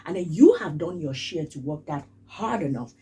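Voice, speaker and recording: A woman's voice: deep voice, big pitch swings, normal speed, mellow, slightly rough, far from the mic. A woman from Cameroon, giving casual spoken commentary in an emphatic way and repeating phrases for stress. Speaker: vlogger.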